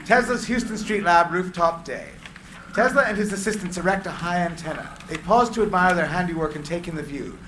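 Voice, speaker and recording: A man reading screenplay stage directions aloud as a narrator, in deliberate, theatrical phrases. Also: high-pitched, a bit bright. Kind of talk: dramatic live script reading. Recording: echoey room with clear background noise.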